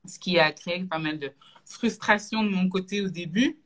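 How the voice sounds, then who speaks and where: Bright-voiced woman from Belgium on a call. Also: fairly low pitch, normal speed. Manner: hesitant, many fillers and restarts. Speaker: guest, entrepreneur. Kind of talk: casual live video chat. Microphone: video call.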